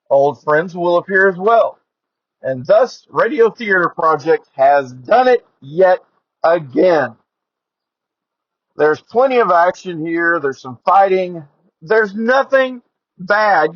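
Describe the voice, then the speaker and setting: Higher-pitched man podcasting casually from a car. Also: no echo, muffled recording. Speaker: podcast host. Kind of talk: casual solo podcast monologue and review. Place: inside a car during rain. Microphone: headset or earphone mic.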